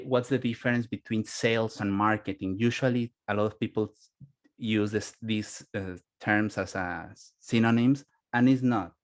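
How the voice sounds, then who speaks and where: A native Spanish-speaking man with a Spanish accent gives an informal webinar presentation as a business consultant, searching for words in halting English. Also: mid-range voice, a little mellow.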